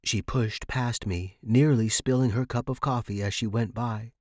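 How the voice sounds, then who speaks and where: A man's voice: mid-range voice, fairly even intonation, normal speed, no room sound, clean studio sound. A man hosting a fiction podcast and narrating scripted horror in a dramatic style.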